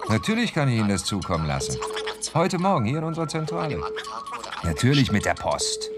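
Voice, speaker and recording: Office man over loud music. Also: medium pitch, wildly swooping pitch, a little fast. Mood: patient, exasperated. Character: patient male office worker spelling things out by phone. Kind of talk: German radio drama acting.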